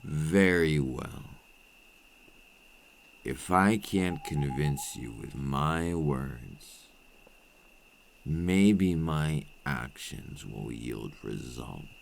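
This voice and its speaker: A man playing a menacing, seductive vampire captor in an intimate ASMR roleplay, cruel, patient and taunting, with slow, silky threats close to the mic. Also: lively intonation, slight background noise, heavily acted. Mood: silky menace. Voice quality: very deep, gravelly. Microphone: close microphone.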